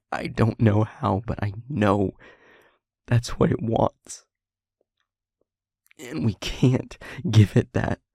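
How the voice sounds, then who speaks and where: A man playing a tormented, remorseful demon in love, in an intimate audio roleplay, speaking haltingly in a breathy, husky, often whispered voice and pleading. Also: medium pitch, mellow, no room sound, clean studio sound. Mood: urgent pleading. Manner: slow, halting, emotionally pleading.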